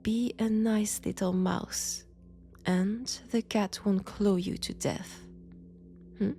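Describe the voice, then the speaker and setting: A woman with a French accent playing a menacing, seductive female vampire in an intimate roleplay audio drama, softly taunting and often whispering. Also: mid-range voice, fairly even intonation, slow pace, a little hiss or hum. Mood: dominant, threatening.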